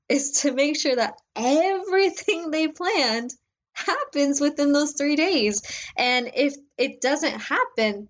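A young woman speaks in a loud voice, relaxed and chatty. She rambles with lots of "like"s and quick restarts, as a guest in a casual interview about her work on film sets. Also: on the high side. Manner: animated, filler-heavy, many "like"s. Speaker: assistant director guest.

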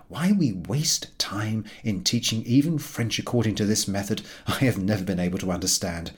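A man narrating a comic literary story in a formal, measured and expressive storytelling manner. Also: fairly low pitch. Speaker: audiobook narrator.

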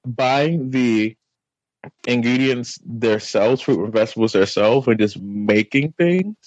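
A man talking as a podcast guest, relaxed, explaining things plainly in casual chat. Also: mid-range voice, slow, no room sound, muffled recording.

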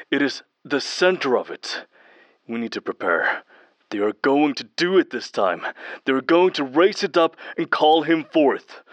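Man acting a slow, haunted prophet of doom. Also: medium pitch, a bit bright, no echo. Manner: halting, ominous, cryptic. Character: haunted, foreboding man obsessed with occult prophecy. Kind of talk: horror audio drama acting.

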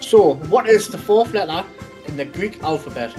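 A man's voice: sits high, expressive, normal speed, music in the background. A man hosting a comedy quiz with playful, theatrical delivery. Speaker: quizmaster host.

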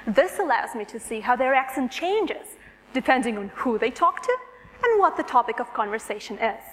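A woman's voice: a little high, very animated, normal speed, fairly bright tone, a little hiss or hum. A woman with a Russian accent, a native Russian speaker, giving a prepared, composed three-minute thesis talk to an audience. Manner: clear, composed, engaging with the audience. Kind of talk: prepared public speech. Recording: long hall reverb.

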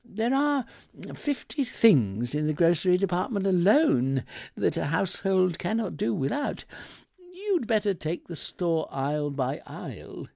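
A man's voice: sits high, huge pitch swings, normal speed, vocal fry, hardly any pauses, darkish tone, husky, no echo, studio quality, pitched above the performer's own voice, full character voice. A man voicing a smug shop floorwalker in a comic story, obsequious, pacifying and mocking. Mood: oily sarcasm. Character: obsequious, sarcastic male floorwalker, smugly pleased with himself.

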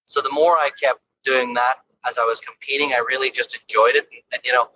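An Irish man speaks in a bright, forceful voice from inside a car, easygoing and quick to laugh. The line sounds dull and muffled, with a faint hiss behind him. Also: medium pitch, steady tone. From Ireland. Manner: easygoing, laughs often, repeats words. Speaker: golf coach guest. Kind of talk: casual interview chat from a car.

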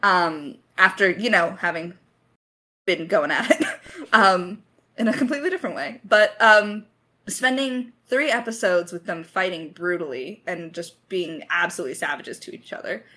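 A woman with a slightly low voice talks through her writing in a casual Q&A. She thinks aloud, hesitating and gently putting herself down as she goes. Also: normal speed. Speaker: lead writer of an audio drama podcast. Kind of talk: casual creator Q&A discussion.

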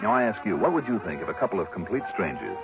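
An actor playing a wry, wary American investigator in an old radio drama, talking in a folksy, emphatic, conversational way. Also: male voice, low-pitched, very animated, quick pace, gravelly, exaggerated voice. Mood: suspicious, narrating. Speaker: lead actor and narrator. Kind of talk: 1950s-60s American radio detective acting, first-person narration and dialogue. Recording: dull vintage radio recording with music.

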